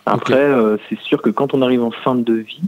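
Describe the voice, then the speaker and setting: A man giving casual feedback as a customer, halting often to search for words. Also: mid-range voice, fairly even intonation. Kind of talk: casual spoken phone feedback. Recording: tinny, narrow phone line.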